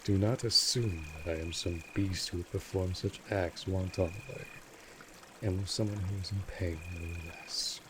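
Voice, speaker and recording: A man playing a cold, possessive vampire master in an audio drama, speaking in a formal, commanding, measured way. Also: sits low, steady tone, background noise, natural voice. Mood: haughty, measured. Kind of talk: scripted roleplay audio drama.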